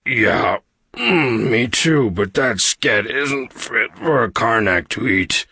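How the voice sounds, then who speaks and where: A man's voice: medium pitch, expressive, creaky, bright, forceful tone, breathy edge, voice pushed up, exaggerated voice. Hulking male alien, played by a male narrator, in agony, groaning and dragging out words, sci-fi audiobook. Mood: pained, groaning. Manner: groaning, drawn-out, distressed delivery. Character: huge four-armed male alien, groaning in gut pain.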